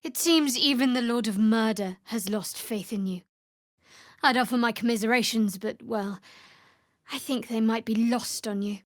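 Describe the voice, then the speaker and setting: A guarded young woman cleric who serves a dark goddess, played by a game actress. Her voice sits a little high, with a wry, sardonic edge to the delivery. The recording is very dry, as game dialogue with no room around it. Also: normal speed, dry recording, lightly acted. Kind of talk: video game character voice lines.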